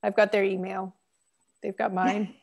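A young woman, a magazine editor, chatting casually on a video call through earbuds, with lots of fillers and quick laughs. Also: medium pitch, level tone, vocal fry. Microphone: earbud headset on video call.

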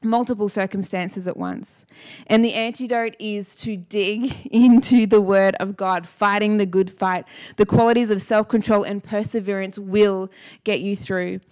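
A woman in her thirties preaching a sermon on a church stage into a handheld mic, energetic and emphatic, repeating key lines. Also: medium pitch, measured pace. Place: church stage with dark blue lighting. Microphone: handheld microphone.

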